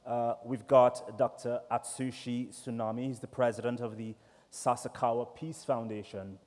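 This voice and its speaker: A man from Trinidad and Tobago with a Trinidadian accent, chatty and relaxed, moderating a conference panel at a desk microphone. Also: medium pitch, moderate pace. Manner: relaxed, chatty, with many fillers. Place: large conference hall. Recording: echoey hall reverb.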